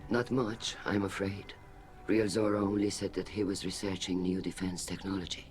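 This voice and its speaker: An actor playing an older alien admiral in a video game, grave, guarded and measured. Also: male voice, low voice, flat delivery, close to an everyday voice. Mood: grave, earnest. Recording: clear background noise.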